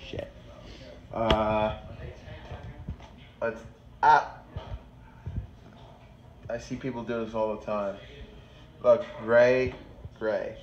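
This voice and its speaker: A man's voice: fairly low pitch, level tone, very slow, pauses often, dull audio. A young man with a nasal, stuffed-up voice, rambling casually as he vlogs a small apartment tour on his phone. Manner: rambling, self-correcting, offhand jokes. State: nasal from a cold. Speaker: vlogger. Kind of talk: casual handheld vlog. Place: small city apartment indoors. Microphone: phone held in hand. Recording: noisy phone recording.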